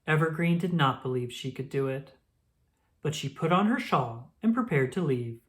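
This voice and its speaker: A woman reading a children's story aloud as scripted narration, in an expressive storytelling style. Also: low voice, moderate pace.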